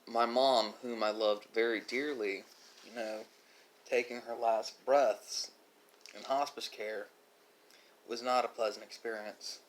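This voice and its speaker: A man giving a reflective, philosophical monologue as an online host, speaking haltingly with drawn-out pauses. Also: medium pitch, fairly even intonation, low-quality recording. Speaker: host of an ASMR mukbang channel.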